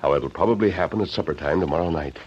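Commanding western hero, low earnest American voice, crisp diction, muffled old radio serial. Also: male voice, quick pace, darkish tone. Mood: assured, earnest. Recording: dull lo-fi vintage radio recording, some noise.

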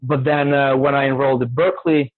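A man's voice: mid-range voice, level tone, a little fast, no echo. A man in his thirties with a Serbian accent, a native Serbian speaker, talking casually and amiably as an interview guest over a phone-like line. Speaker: interview guest, jazz pianist. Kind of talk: casual interview answers, recounting own path. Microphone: remote call line, dry. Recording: thin band-limited phone-like line.

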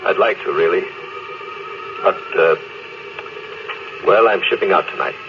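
An actor with an American accent playing a proud, emotionally closed captain in a classic radio drama, with intense, clipped delivery. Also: male voice, deep voice, unhurried. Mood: firm, placating. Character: proud, stern, emotionally closed spaceship captain and fleet commander. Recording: noisy, dull old radio recording.

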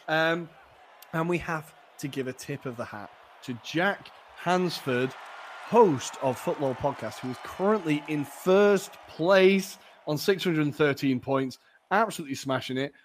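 A young man hosting a casual football podcast, chatty and jokey with lots of ums, his voice a little raspy. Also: a little high, slight background noise. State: slightly raspy voice. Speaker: podcast host.

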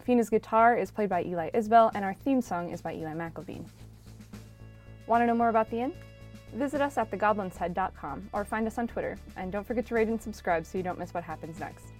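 A woman's voice: mid-range voice, brisk, a little mellow, faint music behind. A woman reading scripted podcast credits as an announcer.

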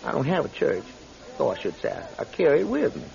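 An actor playing a genial, pious older traveling preacher in a radio drama, with courtly, unctuous phrasing. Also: male voice, fairly high pitch, wildly swooping pitch, fast, vocal fry, darkish tone, heavily acted. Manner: courtly, unctuous, quaintly formal. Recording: slightly dull radio recording.

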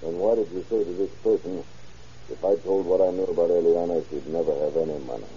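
A man's voice: low voice, steady tone, quick pace, mellow, slight background noise. A man playing a stern, blunt police chief in a 1930s radio crime drama. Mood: sardonic, accusing. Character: stern, sardonic police chief questioning a suspect. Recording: muffled old radio recording.

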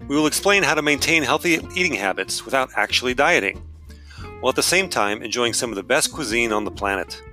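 A man reading a polished, scripted podcast introduction at a measured pace. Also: mid-range voice, lively intonation, sharp tone. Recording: guitar music underneath.

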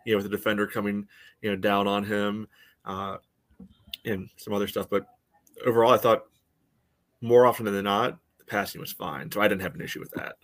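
A man in a casual sports discussion as a podcast co-host, speaking haltingly and often saying "you know". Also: fairly low pitch, steady tone, fairly bright tone, dry recording.

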